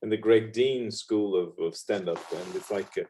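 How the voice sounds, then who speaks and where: French male host, warm mellow voice, halting casual interviewer. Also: lowish voice, slight background noise, low-quality recording. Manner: halting, stumbling, short agreeing replies. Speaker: podcast host, comedy teacher. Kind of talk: casual video-call interview.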